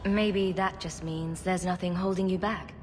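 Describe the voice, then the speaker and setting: An actress playing a steady female warrior hero, calm and gently teasing. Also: on the low side, unhurried, noisy background, lightly acted. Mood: tender. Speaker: player hero. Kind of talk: video game voice acting. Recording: long reverb under game music.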